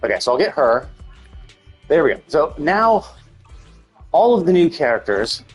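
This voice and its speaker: A man giving casual, unscripted gameplay commentary on a live stream, rambling and thinking out loud in a husky voice. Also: a little high, very animated, moderate pace, faint music behind.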